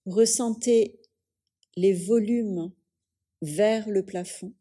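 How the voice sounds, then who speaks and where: A woman guides a yoga nidra relaxation in a calm, soothing manner. Her voice is mellow and relaxed, at a medium pitch. She speaks very slowly, in measured phrases with long pauses between them. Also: slightly rough.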